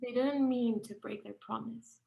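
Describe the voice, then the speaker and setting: A woman's voice: a little high, steady tone, moderate pace, vocal fry, muffled recording. A woman acting an anguished, volatile author in a stage play reading, impassioned and pleading, repeating her words with emphasis. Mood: harsh, despairing.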